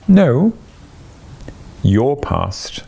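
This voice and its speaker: A soft, gravelly ghost from a storybook , acted out by a man, gentle yet commanding. The speech is solemn and measured, with pitch that swoops expressively. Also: big pitch swings, heavily acted. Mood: calm, firm. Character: gentle but commanding ghost of Christmas past.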